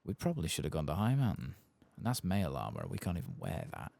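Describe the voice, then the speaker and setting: A soft-spoken man giving casual, musing ASMR commentary as he plays a game. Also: a bass voice, wildly swooping pitch, fast, gravelly, dry recording. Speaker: gaming ASMR host.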